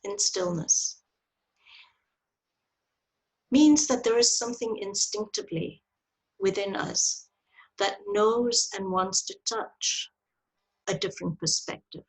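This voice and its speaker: A woman leading a guided meditation in a soft, breathy voice, with gentle, deliberate phrasing and long pauses, heard over a video call. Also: mid-range voice, rough recording. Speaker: meditation teacher leading a yoga nidra. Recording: video call audio with clicks.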